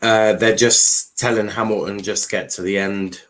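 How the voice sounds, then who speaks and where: A man's voice: on the low side, fairly even intonation, unhurried, fairly bright tone, low-quality recording. A man hosting a livestream watchalong, giving casual race commentary with informal, sweary, joking asides.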